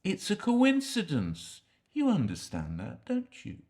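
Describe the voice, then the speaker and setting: An actor playing a sly, self-pitying jailed man who swings between hushed confiding and sudden outbursts. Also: on the high side, big pitch swings, takes it slowly. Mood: hushed, wheedling. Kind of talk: dramatic monologue to a visitor. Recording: echoey room.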